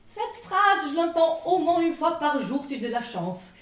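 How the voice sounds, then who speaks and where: A woman's voice: sits high, moderate pace. A woman from northern Italy with an Italian accent, performing a dramatic, comic first-person theatrical monologue. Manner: dramatic, expressive delivery. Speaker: stage actress performing a one-woman show. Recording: echoey room, distant microphone.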